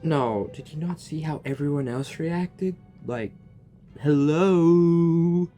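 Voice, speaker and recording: A man's voice: fairly high pitch, slow pace, mellow, faint music behind. A young man reacting to a video game, rambling and joking and tripping over his words. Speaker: gaming reaction youtuber. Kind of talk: casual reaction commentary.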